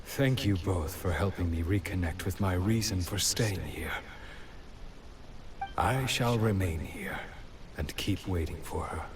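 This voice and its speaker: A man's voice: deep voice, unhurried, far from the mic, a little hiss or hum. A man voicing a wistful older villager in a video game, reflective and subdued in manner. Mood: resigned. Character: wistful older male villager who lost his memories. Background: music.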